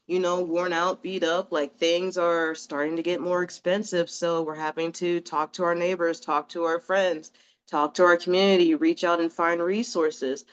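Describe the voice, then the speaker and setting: A woman's voice: on the low side, clean tone, highs cut off. A woman doing a casual, rambling tarot reading in a chatty, reassuring way, a little sniffly from allergies. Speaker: tarot reader.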